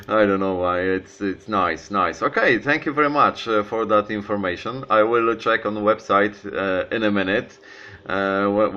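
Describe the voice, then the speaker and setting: Low-voiced man, bright and halting. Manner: halting, repeats words, non-native phrasing. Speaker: amateur radio operator. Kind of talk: casual radio contact. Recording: dull lo-fi sound, faint hiss.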